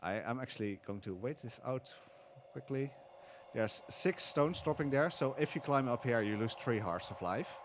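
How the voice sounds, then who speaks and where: A man calmly explaining tricks while playing a game live, with frequent fillers. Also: medium pitch, moderate pace, slight background noise. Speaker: speedrunner. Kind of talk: live speedrun commentary.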